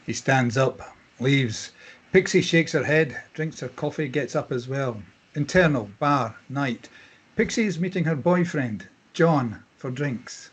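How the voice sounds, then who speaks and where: Guy reading out script directions like a narrator, husky voice, medium pitch. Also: unhurried. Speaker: stage direction reader.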